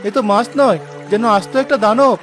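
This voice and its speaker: A man's voice: very high-pitched, a little fast, a bit bright, soft background music. A man voicing a poor, determined fisherman husband in a cartoon, speaking in an earnest, dramatic way. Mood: strained, desperate.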